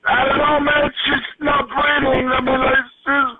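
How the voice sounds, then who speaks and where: A man's voice: very high voice, completely flat delivery, unhurried, very noisy. A frantic man in his twenties making a panicked, repetitive, sweary emergency phone call. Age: 20s. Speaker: emergency caller. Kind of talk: 911 call. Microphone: telephone. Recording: lo-fi phone call under loud music.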